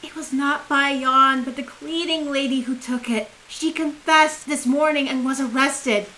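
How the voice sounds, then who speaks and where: A ruler's grave aide in an audio play. Her high voice moves slowly and formally, with measured deference. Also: steady tone, pushed and bright, a little hiss or hum, rough recording. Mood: earnest. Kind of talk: audio drama acting.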